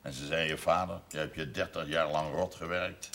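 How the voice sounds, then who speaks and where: An actor playing a proud, blunt, domineering sixty-year-old farmer in a seventies television drama. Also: male voice, rumbling low, steady tone, creaky, sharp tone, full character voice. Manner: blunt, commanding, deliberate storytelling. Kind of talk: 1970s Dutch television drama acting. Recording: noisy old television soundtrack.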